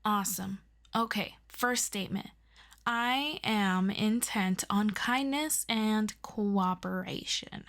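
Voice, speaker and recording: An earnest young superhero sidekick acted by a woman in an audio roleplay, talking straight to a close friend like a patient tutor. The voice is medium in pitch and takes its time, yet stays chatty, excitable and expressive. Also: slow pace. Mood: businesslike, friendly. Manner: chatty and expressive, with interjections and sighs. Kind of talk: ASMR audio roleplay, scripted one-sided conversation.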